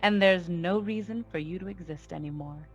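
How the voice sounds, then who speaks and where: A woman's voice: fairly low pitch, highs cut off, soft background music. An actress playing a cold, commanding female gangster boss in a noir radio play, taunting and full of threat. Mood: scornful taunting. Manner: taunting, deliberate, imperious. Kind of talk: 1940s radio noir acting.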